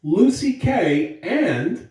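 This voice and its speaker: Echoey, distant male school announcer, mellow voice. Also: high-pitched, very animated, drawn-out words. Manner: encouraging, emphatic, addressing young children. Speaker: school administrator giving morning announcements. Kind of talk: upbeat school announcements and read-aloud to children. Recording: very echoey room, distant mic.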